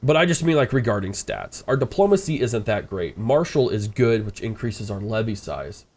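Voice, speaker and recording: A man's voice: lowish voice, animated, normal speed, a little mellow. Stuffed-up man narrating his game. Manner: rambling, thinking aloud, frequent uh fillers. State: congested, stuffed-up nose. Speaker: strategy game streamer. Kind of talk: casual let's-play commentary.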